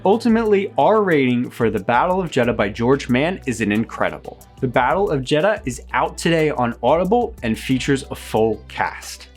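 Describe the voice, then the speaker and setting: A man's voice: mid-range voice, animated, normal speed, few pauses. A man reading a scripted review as host, with an enthusiastic, emphatic presenter's delivery. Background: background music.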